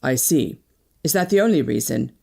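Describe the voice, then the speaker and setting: A woman's voice: rumbling low, animated, moderate pace, gravelly, dark tone, husky, voice pushed down, full character voice. A woman voicing a stern, commanding colonel in an audiobook, with a firm, clipped and condescending manner. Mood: grave, intent. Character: stern, commanding British colonel, a grim older man. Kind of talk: audiobook character dialogue.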